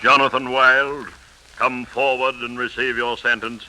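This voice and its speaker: A grave, stern judge of centuries past. He declaims formally in a medium-pitched, cutting voice. The sound is that of a scratchy 1930s radio play. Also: level tone, a little slow, sharp tone. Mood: stern, commanding. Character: stern, solemn, unbending male 18th-century court judge.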